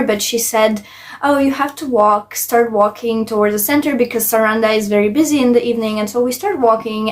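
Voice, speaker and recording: A young woman from Latvia, telling personal stories casually and emphatically in a vlog style. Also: fairly high pitch. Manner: emphatic, chatty, repeats intensifiers. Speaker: travel vlogger.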